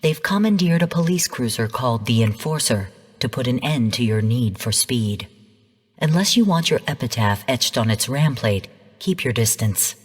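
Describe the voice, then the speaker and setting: An actress playing a female artificial intelligence mentor in a video game, delivering mission briefings in a calm, stern, measured and formal way. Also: extremely low pitch, slightly rough, big-room sound, exaggerated voice. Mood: cool warning. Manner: measured, formal, cool, precise. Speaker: game guide and mission narrator.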